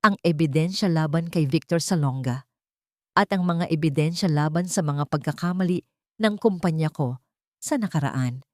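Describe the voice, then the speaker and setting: A woman voicing a proud, wealthy father full of remorse, speaking in a solemn, measured way in an audio drama. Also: low voice, darkish tone, no echo, clean studio sound, natural voice. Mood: firm, resolute.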